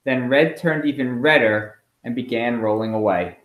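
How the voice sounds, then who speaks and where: A man reading a picture book aloud to children in an expressive, emphatic storytelling way, as a school principal at home. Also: mid-range voice, moderate pace. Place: at home, indoors. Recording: roomy, echoey home recording.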